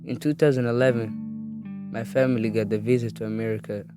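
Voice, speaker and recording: An eighteen-year-old man from Somalia reading his own life story in an earnest, steady way. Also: mid-range voice, a little fast, music in the background. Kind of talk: read personal narrative.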